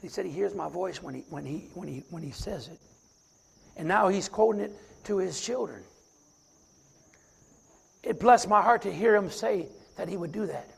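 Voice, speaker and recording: A middle-aged man preaching with a high, gravelly rasp. His voice sounds husky and rough, and he recites scripture from memory with rising emphasis. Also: normal speed, pauses often. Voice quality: raspy. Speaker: deacon. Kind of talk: sermon.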